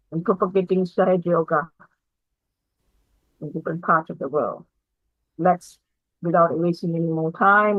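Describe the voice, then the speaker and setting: A woman's voice: fairly low pitch, dry recording, narrow, tinny audio. A woman host introducing a guest on a video call, effusive and praising, with small hesitations. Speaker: meditation session host. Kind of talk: formal introduction.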